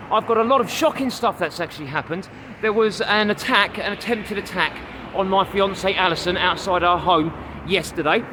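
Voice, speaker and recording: A man with a London accent talks straight to his camera as he walks outside, chatty and full of uh fillers, a little short of breath. His voice sits fairly high for a man. Busy street traffic roars clearly behind him. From London, England. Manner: chatty, addresses viewers as guys, many uh fillers. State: walking, gets out of breath. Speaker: vlogger and comedian. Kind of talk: casual vlog talking to camera. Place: outdoors on a busy city street. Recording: street noise and passing vehicles.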